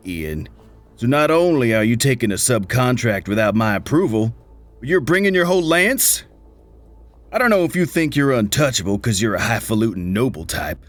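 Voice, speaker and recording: A man playing a grizzled middle-aged mercenary major, gruff, profane and folksy in a sci-fi radio play. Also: medium pitch, pitched above the performer's own voice, close to an everyday voice.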